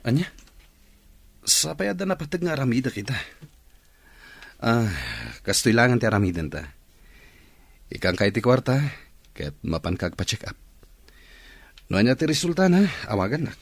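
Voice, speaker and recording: Husky young boyfriend, lively intonation. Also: male voice, medium pitch, moderate pace, pauses often, dry recording. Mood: brusque, hurried. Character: smooth-talking, evasive young boyfriend making promises. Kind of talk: radio drama acting.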